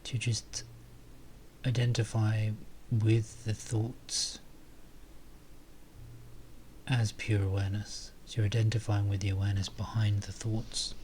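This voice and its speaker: A man softly leading a guided meditation, calm and unhurried, with long pauses between phrases. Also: lowish voice, a little hiss or hum.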